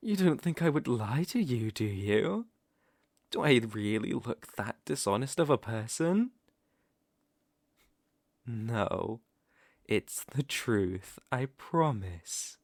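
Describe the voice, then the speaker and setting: A man's voice: on the low side, dry recording, studio quality. A man with a British accent playing a centuries-old aristocratic vampire lord in an ASMR roleplay, suave, predatory and teasing, with formal, theatrical phrasing. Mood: suave, nostalgic. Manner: silky, theatrical, teasing and formal with lingering pauses.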